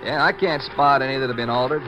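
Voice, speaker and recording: A man's voice: mid-range voice, quick pace, music in the background, lightly acted. An actor playing an eager, observant lawman sidekick with an American accent, plainspoken and folksy in a 1950s western radio drama. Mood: alert. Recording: noisy old radio recording.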